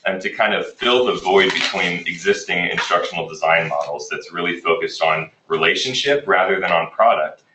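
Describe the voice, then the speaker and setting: A man leading a workshop in a relaxed, conversational way, a little raspy as he gets over a cold. His voice sits very low yet sounds bright and forceful. He is heard from a distance in an echoey room. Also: slight background noise. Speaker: workshop presenter, instructional design manager. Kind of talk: workshop presentation. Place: classroom or meeting room.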